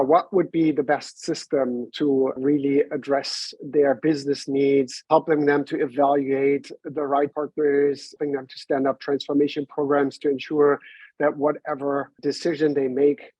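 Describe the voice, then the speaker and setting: A man in his forties with a German accent, a podcast guest explaining insurance consulting in a measured, step-by-step way. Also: fairly high pitch, darkish tone, slightly rough, no room sound. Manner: measured, explanatory, builds long points step by step. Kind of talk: conversational business interview. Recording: slightly dull lo-fi sound.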